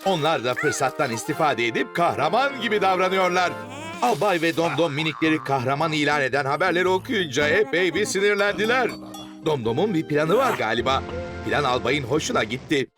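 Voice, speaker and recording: A man's voice: a little high, expressive, fairly quick. A man narrating a children's cartoon in an exclamatory, theatrical way, with frequent rhetorical questions. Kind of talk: animated scripted cartoon narration. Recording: music under the voice. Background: music.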